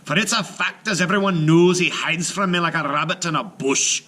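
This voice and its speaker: A man voicing a boastful, bullying male giant in a folktale read aloud, exclaiming loudly. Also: a little high, moderate pace, pitched above the performer's own voice. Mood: scornful. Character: blustering, bullying male Irish giant, loud glutton.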